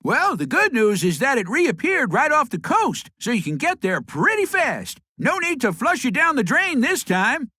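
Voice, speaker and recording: Bright, husky, bouncy tech sidekick. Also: female voice, high voice, big pitch swings, normal speed, few pauses, dry recording, clean studio sound, full character voice. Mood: upbeat. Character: perky, matter-of-fact young female tech helper. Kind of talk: animated game cutscene acting.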